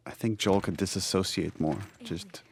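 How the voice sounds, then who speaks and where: Guy with a lowish voice talking through creative choices, thoughtful and explanatory, unscripted. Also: normal speed, gravelly, a little hiss or hum. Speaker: game director giving commentary. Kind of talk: unscripted behind-the-scenes commentary.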